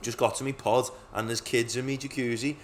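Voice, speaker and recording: A man's voice: mid-range voice, flat delivery, brisk, slight background noise. A young man co-hosting a podcast, chatting casually and telling stories with lots of swearing and laughter. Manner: sweary, storytelling, laughs often. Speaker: podcast co-host. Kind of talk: casual chat.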